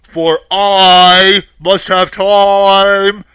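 Huge, slow booming wizard voice. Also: male voice, flat delivery, pushed and bright, smooth, no echo, heavily acted. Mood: startled, stalling. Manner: halting, drawn-out.

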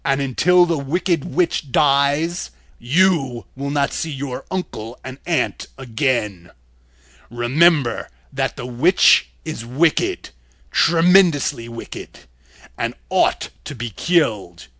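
A man voicing a stern, commanding wizard's head, speaking slowly and loudly. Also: mid-range voice, no echo. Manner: slow, loud, commanding. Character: Oz as a great head, male, booming and stern.

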